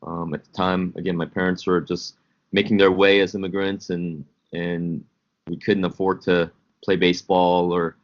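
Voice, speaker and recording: A very deep-voiced man in his forties, from South Korea, speaks as a guest coach. He answers thoughtfully and haltingly, restarting his phrases often. His voice comes through a dull, muffled lo-fi connection. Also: little pitch movement. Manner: halting, reflective, with many repeats and fillers. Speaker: high school basketball coach, guest. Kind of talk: casual interview answers.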